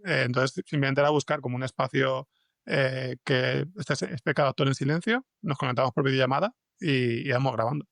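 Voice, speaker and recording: Casual man from Spain with a creaky voice. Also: mid-range voice, brisk, fairly bright tone, no echo, studio quality. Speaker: guest podcast scriptwriter. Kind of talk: casual conversational interview.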